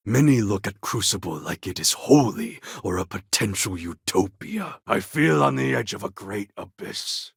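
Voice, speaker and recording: A gravelly, proud warlord. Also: male voice, on the low side, no room sound, studio quality, exaggerated voice. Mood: grave, brooding. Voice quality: gravelly, husky voice. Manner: deliberate, theatrical, grandly formal delivery. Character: proud warlike male clone of a Mongol conqueror. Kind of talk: video game character acting.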